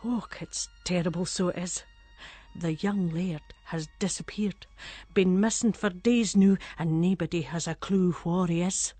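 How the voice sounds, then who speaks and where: Husky gossipy Scottish village woman. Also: lowish voice, a little slow, warm tone, dry recording. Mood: gossiping, conspiratorial. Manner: chatty, conspiratorial, emphatic. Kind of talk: dramatic monologue in Scots dialect.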